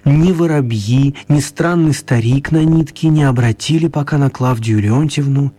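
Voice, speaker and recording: A man's voice: mid-range voice, moderate pace, dark tone, husky. A man narrating a children's radio play in a scripted storytelling style.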